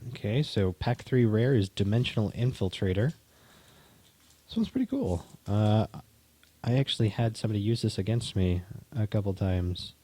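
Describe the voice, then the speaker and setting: A man co-hosting a casual stream, chatting in a relaxed, halting way with frequent restarts. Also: fairly low pitch. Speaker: co-host opening card packs. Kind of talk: casual chat.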